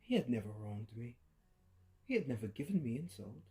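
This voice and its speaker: A man giving a dramatic horror story reading, swinging between hushed murmurs and sudden loud outbursts, with an unhinged edge and creepy laughs. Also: medium pitch, big pitch swings, brisk, slightly rough. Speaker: narrator. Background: faint violin music.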